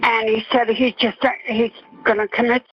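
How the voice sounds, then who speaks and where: An older woman calling emergency services on the phone, distraught and shaken, speaking haltingly with exclamations. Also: a little high, flat delivery, husky, dry recording, faint music behind. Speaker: emergency caller. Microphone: telephone. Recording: muffled lo-fi telephone line.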